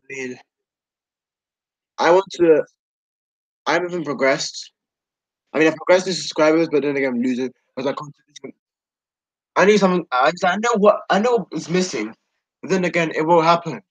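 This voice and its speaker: A tired sixteen-year-old boy rambling casually to his viewers, halting, repeating himself and trailing off mid-sentence. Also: on the high side, animated, no room sound, low-quality recording. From United Kingdom. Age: 16, teenager. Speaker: video creator talking to subscribers.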